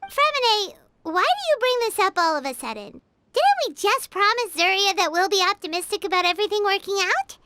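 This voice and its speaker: A woman voicing a small childlike fairy companion in a video game, squeaky, earnest and excitable. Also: a little fast, dry recording, full character voice. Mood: puzzled. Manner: refers to herself in third person, expressive.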